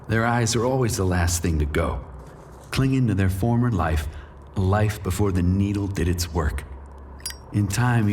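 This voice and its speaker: A man's voice: low-pitched, a little mellow, a little hiss or hum. An actor playing a bitter, menacing drug dealer who narrates his crime drama with cynical, profane threat. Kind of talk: noir first-person scripted narration and dialogue.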